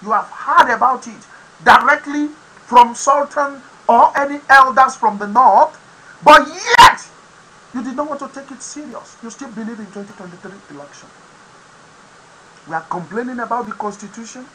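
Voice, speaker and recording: A Nigerian-accented man, a native Yoruba speaker, hosts his own program and lectures viewers on politics. His voice is bright and forceful, and he swings into loud, emphatic bursts. A faint hum of noise sits under the voice. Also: very high voice, moderate pace, low-quality recording. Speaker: host of an online talk program. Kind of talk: impassioned political commentary to camera.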